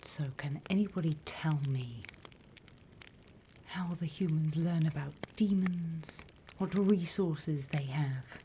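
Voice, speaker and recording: Woman playing a slow, whispering British demon teacher. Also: low-pitched, mellow, a little hiss or hum. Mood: wry, instructive. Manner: slow, deliberate, teacherly, teasing menace. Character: sly demon teacher briefing little demon trainees, mock-stern. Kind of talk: whispered ASMR roleplay.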